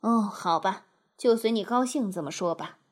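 A woman voicing a meek, anxious and fussy male water rat from a storybook, soft and hesitant. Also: mid-range voice, normal speed, pitched below the performer's own voice, close to an everyday voice.

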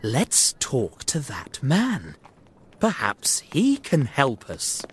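A man voicing a confident, shrewd, courteous detective in an acted storybook, speaking in an assured and deliberate way. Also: mid-range voice, huge pitch swings, husky, exaggerated voice. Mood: quiet, thoughtful.

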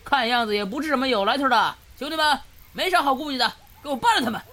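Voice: high-pitched, fast, bright, forceful tone. An actor playing a coarse, cursing, defiant bandit ruffian in a martial-arts audio drama. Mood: swaggering, threatening.

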